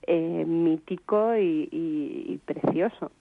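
A woman's voice: sits low, takes it slowly, vocal fry, no room sound. A young woman from La Mancha, speaking as a guest in a thoughtful, hesitant way. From La Mancha, Spain. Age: young adult. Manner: thoughtful, hesitant, with fillers and restarts. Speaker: interview guest, writer. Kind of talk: radio interview by phone. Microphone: phone. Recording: tinny phone line.

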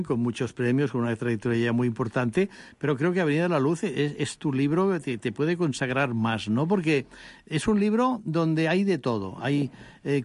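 A man's voice: medium pitch, dry recording. Chatty male radio host. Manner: chatty, stumbles and repeats words. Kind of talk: radio interview.

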